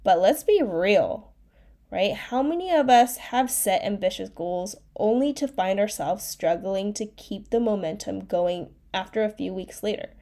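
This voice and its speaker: A woman's voice: mid-range voice, lively intonation, a little slow, warm tone. A young woman hosting her own podcast, chatting casually and rambling, her voice nasal from a cold. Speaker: podcast host, life coach. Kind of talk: casual solo podcast chat.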